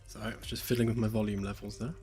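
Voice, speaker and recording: A man developing a game on a live stream, chatting casually as he works, slightly hoarse and rambling with lots of fillers. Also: on the low side, little pitch movement, rapid-fire, dark tone. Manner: rambling, hesitant, many fillers, self-deprecating jokes. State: slightly hoarse, losing his voice. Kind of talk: relaxed live-stream chat while working. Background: faint lo-fi background music.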